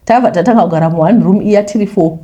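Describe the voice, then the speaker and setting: A woman's voice: medium pitch, rapid-fire, mellow. A woman with a Zimbabwean accent telling a first-person confession story in a conversational way, mixing in English phrases. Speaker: YouTuber reading a listener's confession.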